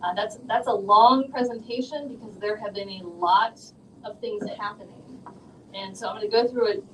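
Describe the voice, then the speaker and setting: A woman who is losing her voice briskly lays out the agenda for a staff presentation. She sounds far from the mic, and clear room noise sits under her words. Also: medium pitch, fairly bright tone. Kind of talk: introducing a staff presentation at a council meeting. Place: city council chamber.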